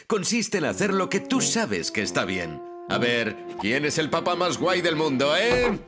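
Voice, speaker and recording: An actor playing a gentle, apologetic, affectionate dad in a children's cartoon dub. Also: male voice, a little high, very animated, unhurried, music in the background. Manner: affectionate, mild. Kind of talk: dubbed children's cartoon acting.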